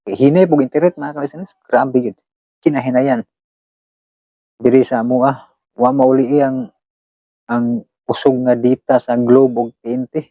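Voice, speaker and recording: A Cebuano-accented Filipino man chats casually to his listeners in a medium-pitched voice. He is halting and repetitive, recorded dry and a little muffled. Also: dry recording. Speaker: channel host. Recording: dull, lo-fi close recording.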